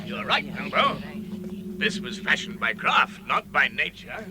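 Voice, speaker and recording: A man's voice: mid-range voice, very animated, measured pace, sharp tone, slight background noise, full character voice. An actor playing a proud dwarf leader in a vintage radio drama, declaiming and rallying his company. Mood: grave.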